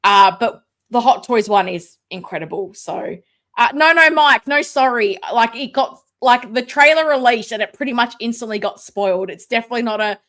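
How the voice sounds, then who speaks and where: A woman's voice: moderate pace, a bit bright. A woman hosting a live toy collecting stream, chatting casually, reading viewer comments aloud and unboxing a figure. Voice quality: self-described booming voice. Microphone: desk mic, moved away at times.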